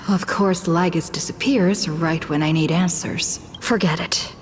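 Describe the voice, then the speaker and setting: Lowish-voiced, musing young traveler. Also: female voice, fairly even intonation, takes it slowly, a little hiss or hum. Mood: puzzled, musing. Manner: musing, questioning. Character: puzzled young female traveler, inquisitive inner monologue. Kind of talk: fantasy video game voice acting.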